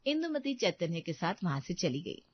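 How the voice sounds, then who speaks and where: Woman narrating a cartoon story, plain and even, dull dry sound. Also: lowish voice, moderate pace, slightly rough, no room sound.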